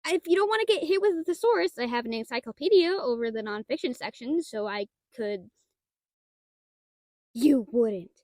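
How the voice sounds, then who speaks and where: A very high, girlish voice, recorded close and dry. It is a woman acting as a shy young half-dragon librarian, bookish and easily flustered, who stumbles over words in an intimate roleplay aimed at the listener. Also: expressive, normal speed, a little mellow, no room sound, close to an everyday voice. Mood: nervous, pleading. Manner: hesitant, stammering, bookish wording, easily flustered.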